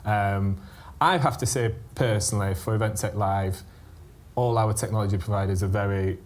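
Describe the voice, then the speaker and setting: A man's voice: on the low side, a little mellow. A man with a British accent who moderates a panel of event organisers, speaking casually and restarting his sentences. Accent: British English. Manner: casual, hesitant, repeats words. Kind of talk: panel discussion. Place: event venue in central London.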